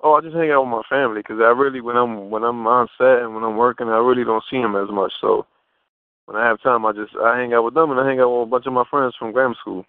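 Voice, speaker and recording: Man, mid-pitched voice, informal slangy talk, casual interview guest, muffled phone call. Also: fairly even intonation, fast talker, no echo. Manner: informal, slangy, repeats and rephrases himself. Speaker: interview guest, fashion model. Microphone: telephone. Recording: muffled narrow phone line.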